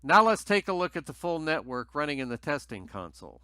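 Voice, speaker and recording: A man's voice: on the high side, very animated, fairly quick, pushed and bright, clear, resonant tone, no echo, clean studio sound. A man narrating a scripted technical tutorial in a methodical, explanatory way as its presenter.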